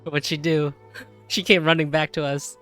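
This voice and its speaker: Girl streamer with a pretty low voice, casual live game reactions, faint music in back. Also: fairly even intonation, moderate pace, a bit bright. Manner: informal, reacts in short remarks.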